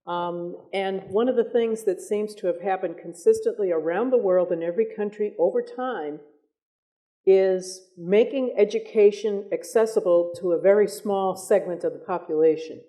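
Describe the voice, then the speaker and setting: An American woman moderating a public discussion, speaking in articulate, deliberate phrases with emphasis on her points. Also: medium pitch, mellow.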